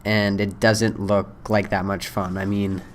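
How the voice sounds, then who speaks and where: A young man, hoarse from a cold, casually rambling through his first impressions with lots of ums and uhs. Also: on the low side, flat delivery, a little slow, a little hiss or hum. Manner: rambling, many fillers. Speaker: gaming video creator.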